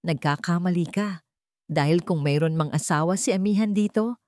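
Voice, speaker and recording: Romance-story businessman, read by a woman: polite, unshakably calm, commanding, lowish voice. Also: normal speed, no echo, lightly acted. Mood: calm, firm. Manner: calm, polite, firmly authoritative.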